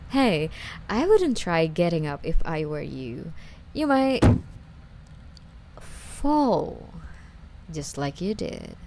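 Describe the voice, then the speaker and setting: Woman doing obsessive yandere stalker girl asmr, coddling her captive, breathy n mellow, lowish voice, faint noise behind. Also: wildly swooping pitch, heavily acted. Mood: mock-sweet menace. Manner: slow, coy, breathy delivery slipping into whispers. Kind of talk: ASMR roleplay.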